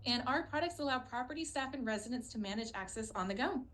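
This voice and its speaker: A woman's voice: a little high, expressive, quick pace, a bit bright. A woman hosts a webinar, reading a scripted introduction. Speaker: webinar host.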